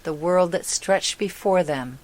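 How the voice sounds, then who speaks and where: Female storybook narrator, low register, slow and deliberate delivery, scripted read. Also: a little mellow, low-quality recording.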